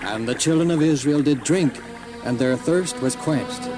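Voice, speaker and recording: A male narrator reads a scripted Bible story with formal solemnity. His voice sits a little above average for a man, and music plays clearly behind him. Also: a little high, unhurried. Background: music under the voice.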